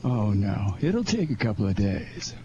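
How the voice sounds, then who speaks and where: A man's voice: on the low side, sing-song, a little slow, mellow, dull audio. A man playing a cocky, streetwise fur thief in a 1940s-style radio crime drama, brusque and slangy. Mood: smug, scheming. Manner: brusque, slangy, self-assured. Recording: noisy amateur radio drama recording.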